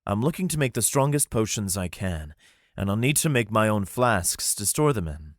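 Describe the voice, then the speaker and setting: An earnest young alchemist who explains himself carefully, read by a man in a storybook. His voice stays close to the reader's own, with lively shifts in pitch. The sound is dry and close. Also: normal speed, dry recording.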